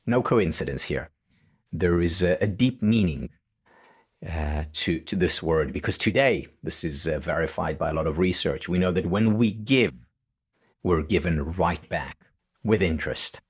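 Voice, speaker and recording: A man whose first language is Hebrew, a podcast guest explaining research earnestly, with pauses and restarts. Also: sits low, breathy edge. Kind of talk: conversational explanation.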